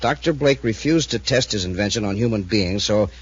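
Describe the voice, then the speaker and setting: An actor playing an arrogant, calculating criminal genius in a 1950s radio adventure, with a smug, commanding and coldly impatient manner. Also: male voice, fairly low pitch, expressive, a little fast, husky. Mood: cold, menacing. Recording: muffled 1950s radio recording.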